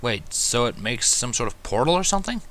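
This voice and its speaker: A polite, curious pony, played by a man, speaks with hesitant, apologetic manners. The tone is bright and the intonation very lively, as a character in a fan fiction story. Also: mid-range voice, a little fast.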